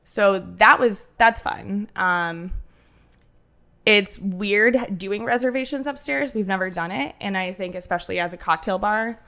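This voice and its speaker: A woman bartender with a nasal voice, chatting casually on a podcast and telling animated stories with quoted asides. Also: medium pitch, measured pace. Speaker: bartender and cocktail menu creator.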